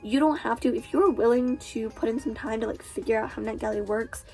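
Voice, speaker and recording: Stuffed-up nasal teen girl author vlogging, kinda high voice, faint music behind. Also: fairly quick, a little mellow. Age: teens. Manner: chatty, lots of fillers like "like". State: congested, stuffy nose from a cold. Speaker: author and booktuber. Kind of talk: casual vlog talk.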